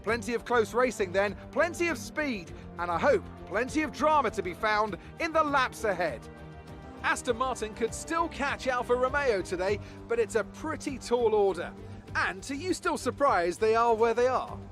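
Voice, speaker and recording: A man's voice: extremely high pitch, lively intonation, normal speed, fairly bright tone, faint music behind. A man as a polished, upbeat TV race commentator in a video game, presenting in broadcast style. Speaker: lead motorsport commentator.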